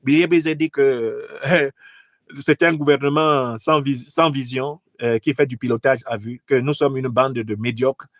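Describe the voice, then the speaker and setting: Casting: male performer as sheepish army strongman turned president, insecure, halting, pleading, political satire, dry close recording. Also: mid-range voice, fairly even intonation, no room sound, voice pushed down, close to an everyday voice. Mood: sheepish confession. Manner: halting, repeats phrases.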